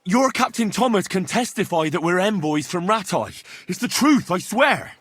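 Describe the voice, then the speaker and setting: An actor playing an earnest young blacksmith's son in a video game, speaking plainly. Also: male voice, high voice, big pitch swings, normal speed, a bit bright, husky, exaggerated voice.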